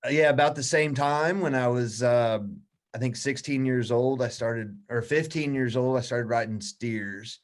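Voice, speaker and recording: A man around forty, a guest in a casual interview, telling stories in a relaxed, easygoing way. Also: medium pitch, normal speed. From United States.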